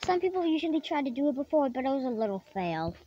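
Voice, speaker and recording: A tutorial host casually explaining software steps in a halting way, often repeating and rephrasing. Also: high voice, sing-song. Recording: dull lo-fi microphone, clearly noisy.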